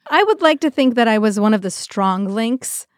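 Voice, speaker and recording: A woman's voice: a little high, expressive, moderate pace, no echo, clean studio sound. A young adult woman chatting casually and enthusiastically as a podcast co-host, often breaking into giggles.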